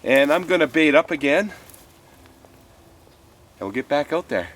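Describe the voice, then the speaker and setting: A man's voice: a little high, lively intonation, measured pace, a bit bright, a little hiss or hum. A man in his fifties hosts an outdoor fishing show from a creek bank, speaking to the camera in a loose, folksy way. His voice is a little stuffy from a cold. He fills his talk with easy "uh"s and keeps calling his viewers "folks.". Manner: chatty and folksy, lots of "uh" and "folks".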